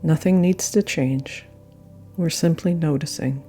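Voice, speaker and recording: A woman guiding a yoga nidra meditation with gentle, unhurried phrasing and frequent pauses. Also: low-pitched. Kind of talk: guided meditation narration. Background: soft meditation music.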